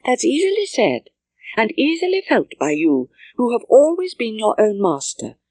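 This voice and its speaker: A spirited young gentlewoman from a classic audiobook, played by a woman narrator. She speaks genteel Received Pronunciation British English with indignant emphasis. Her pitch is raised and swings freely. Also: huge pitch swings, moderate pace, no room sound. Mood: reproachful. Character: spirited, stubborn young gentlewoman of Regency England. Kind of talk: audiobook character dialogue.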